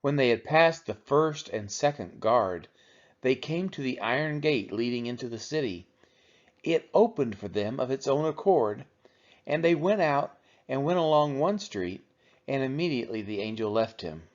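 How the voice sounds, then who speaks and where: A man's voice: medium pitch, animated, moderate pace. A man reading the Bible aloud as a narrator for a church read-along. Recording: dull, lo-fi sound.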